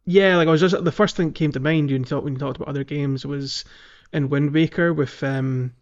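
A man co-hosting a podcast, chatting casually about a video game in a halting, conversational way. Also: a little high, steady tone, highs cut off. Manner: halting, peppered with "like" and "you know". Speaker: podcast co-host.

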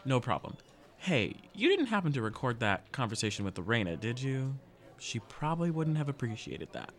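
A man acting as a playful, chatty teenage best friend, animated and teasing, in a fiction podcast. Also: medium pitch, normal speed. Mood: gleeful, teasing. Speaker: voice actor.

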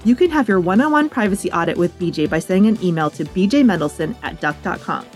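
A woman's voice: lowish voice, animated, fast, darkish tone, faint music behind. A woman host reading sponsor and outro copy in an upbeat, friendly scripted way. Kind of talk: scripted ad read.

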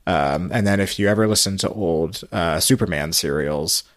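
A man in his thirties hosts a casual podcast in a notably low voice. The sound is close and dry. He explains things informally, in a conversational, informative way. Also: normal speed, dry recording. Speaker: podcast host. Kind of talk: casual conversation, explaining old radio trivia.

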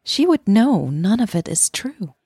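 A woman acts out a younger girlfriend in a romantic audio drama, teasing and affectionate. Her voice is soft and lifted in pitch, with a husky, breathy edge. The recording is dry and close. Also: big pitch swings, measured pace, dry recording, full character voice. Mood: amused, reassuring.

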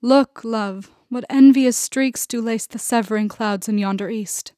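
A woman's voice: on the high side, measured pace, dark tone, dry recording, lightly acted. A woman voicing a young male acting student who is guarded and answers with dry, wry quips. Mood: reciting.

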